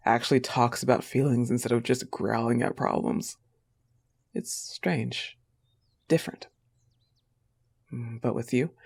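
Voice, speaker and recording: Girl voicing a clingy sweet wolf girlfriend audio roleplay, tender n teasing, slow with lots of fry, soft breathy bits. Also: rumbling low, exaggerated voice. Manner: tender and teasing, often dropping to a breathy whisper.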